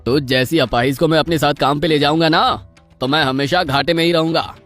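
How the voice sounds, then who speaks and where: A man's voice: on the high side, steady tone, a little fast, a bit bright, soft background music, natural voice. A man playing a greedy village master in a cartoon story, speaking in a dramatic, emphatic way. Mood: scornful, defensive. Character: greedy, cruel male village master, self-pitying when cornered. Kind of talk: Hindi cartoon story voice acting.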